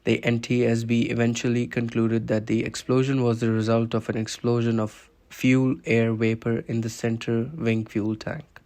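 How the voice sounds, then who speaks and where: A man's voice: medium pitch, level tone, normal speed, a little mellow. A man narrating a scripted documentary, explaining events step by step.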